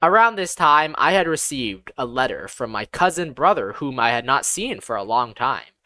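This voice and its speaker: Woman narrating with lively, swooping intonation. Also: deep voice, no room sound. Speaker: audiobook narrator. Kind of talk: scripted first-person fantasy audiobook narration.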